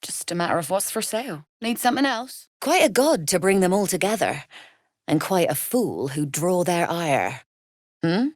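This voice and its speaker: A woman's voice: lowish voice, very animated, moderate pace, no room sound, clean studio sound. An actress playing a shrewd, amoral female smuggler-merchant in a video game, with a sly, businesslike manner. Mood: wry, businesslike. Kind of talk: video game character acting.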